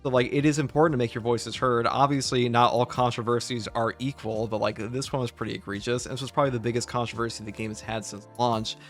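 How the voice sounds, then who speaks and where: A man who makes gaming videos, casually discussing a video game and explaining his opinions in a measured way. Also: medium pitch, fairly even intonation, faint music behind. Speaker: gaming YouTuber.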